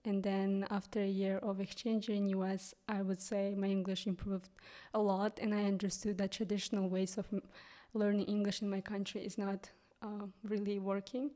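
A young woman from Kyrgyzstan with a Kyrgyz accent, a guest English teacher speaking English as a third language, pausing often with ums and restarts. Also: mid-range voice, little pitch movement, dark tone. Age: young adult. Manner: hesitant, many ums and restarts. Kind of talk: casual interview.

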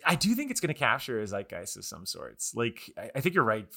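A man's voice: on the low side, wildly swooping pitch, brisk, gravelly, bright, forceful tone, breathy edge. A man hosting a casual pop-culture podcast, talking enthusiastically and often restarting his sentences. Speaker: podcast host.

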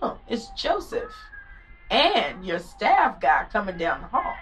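A woman's voice: mid-range voice, huge pitch swings, takes it slowly, a bit bright, faint music behind, exaggerated voice. An actress playing a frank, disapproving female confidante in an audio drama, with a chiding, candid manner. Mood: alert. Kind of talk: modern audio drama acting.

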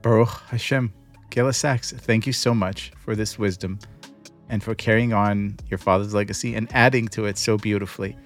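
A man's voice: on the low side, fairly even intonation, normal speed, soft background music. A man hosting a podcast, narrating and interviewing in an emphatic, theatrical way. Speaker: podcast host.

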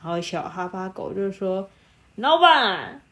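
A woman improvising a bedtime story with a child, animated and prompting with questions. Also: on the low side, normal speed. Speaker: storyteller, podcast host.

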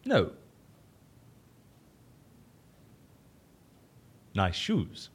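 Flamboyant, wildly animated, fussy interviewer. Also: male voice, fairly low pitch, slow, husky, full character voice. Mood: haughty, bored. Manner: theatrical, fussy, mock-polite, stammering for show. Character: flamboyant, easily bored, petulant male case interviewer. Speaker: actor in audio drama. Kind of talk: audio comedy-drama acting.

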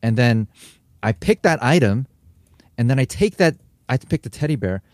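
A man's voice: mid-range voice, normal speed, no echo, clean studio sound. A young adult man from America, chatting casually as a podcast co-host and telling stories with acted-out sounds and quotes. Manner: animated storyteller, acts out sounds and quotes. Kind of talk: casual chat.

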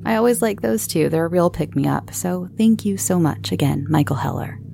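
Chatty woman podcast host with creaky voice. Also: lowish voice, animated, normal speed, warm tone, faint music behind. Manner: informal, rambling, chatty. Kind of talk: casual chat answering listener voicemails and questions.

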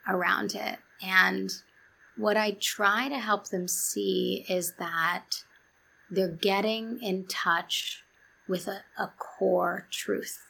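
A woman speaking as a psychologist guest in an interview, calm and soft-spoken, sometimes sinking to a near whisper. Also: medium pitch, slow pace, gravelly, slightly rough, poor audio. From New York City. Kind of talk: reflective interview talk.

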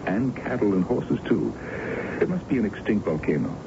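A man playing a suave, condescending colonel in a radio drama, with a smooth, theatrical manner. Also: lowish voice, big pitch swings, normal speed, noisy background. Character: suave, dismissive colonel courting a lady. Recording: dull old radio broadcast with music.

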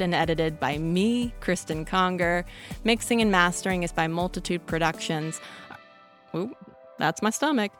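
Chatty woman podcast host. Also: mid-range voice. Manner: chatty and upbeat, addressing listeners directly. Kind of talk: casual podcast outro.

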